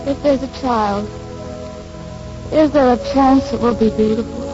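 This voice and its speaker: An actress playing a tender, devoted young wife in love, speaking earnestly in 1940s radio drama style. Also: female voice, fairly high pitch, fairly even intonation, measured pace, close to an everyday voice. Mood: anxious hope. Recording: muffled 1940s radio recording, music behind.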